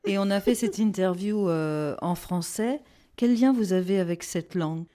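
A woman hosting a radio interview, guiding her guest with composed questions and small pauses. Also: mid-range voice, dark tone. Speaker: radio host.

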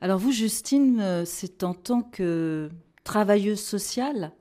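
A woman hosting a radio interview, guiding her guest with composed questions and small pauses. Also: medium pitch, expressive, mellow. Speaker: radio host.

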